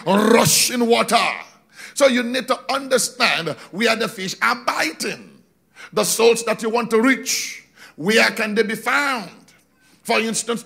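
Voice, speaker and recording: A middle-aged man preaching on a large church stage into a handheld microphone, animated, slowing down for emphasis and shouting key points. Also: very high-pitched, creaky. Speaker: pastor. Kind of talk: sermon. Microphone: handheld mic.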